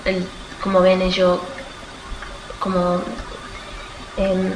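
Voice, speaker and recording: A young woman with a fairly low, very even voice, speaking as an interviewee. She feels her way through answers, often stopping to rephrase. The room echoes and there is clear noise behind her. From Australia. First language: Spanish. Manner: halting, searching for words, self-correcting. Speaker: student being interviewed.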